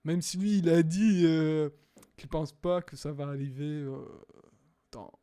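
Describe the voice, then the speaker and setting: A young man casually reacting to videos, hesitant with many fillers, sometimes bursting into loud exclaiming. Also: on the high side, fairly even intonation, a little slow. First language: not English.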